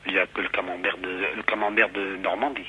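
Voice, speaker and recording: Husky, forceful man on the phone. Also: low voice, little pitch movement. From southern France. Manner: earnest, halting, repeats himself. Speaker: school caretaker. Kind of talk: phone conversation. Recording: voice over a telephone line.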